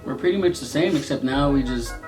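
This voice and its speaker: A man's voice: mid-range voice, brisk. A man in his thirties chatting casually beside his wife in a Q&A video, poking fun at himself as socially awkward. Music plays under the voice throughout. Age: 30s. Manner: self-deprecating, jokey, admits being awkward. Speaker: co-host of a couple's channel. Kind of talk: casual couple's Q&A chat.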